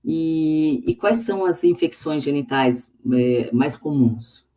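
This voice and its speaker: A woman's voice: sits low, slow, dark tone. A woman hosts a live online talk over a video call. She speaks in a friendly, conversational way, with easy fillers between introductions. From São Paulo state, Brazil. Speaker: host of a medical livestream.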